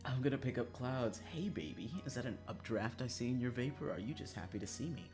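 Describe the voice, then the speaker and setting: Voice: mid-range voice, lively intonation, fairly quick, over music. A streamer chatting casually on a livestream in a joking, self-mocking, dramatic way, swearing freely.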